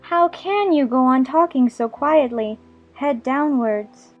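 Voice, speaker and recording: A high, girlish storybook voice acted by a woman, polite and full of frank questions. The young girl sounds curious and well-mannered. The recording is a little dull, with faint music underneath. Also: slow. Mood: puzzled. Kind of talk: dramatized audiobook acting.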